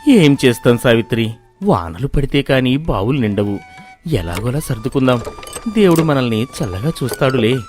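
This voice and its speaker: A man playing a good-natured, hospitable village farmer in a story, gentle and accommodating. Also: mid-range voice, expressive, normal speed, soft background music, close to an everyday voice. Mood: resigned.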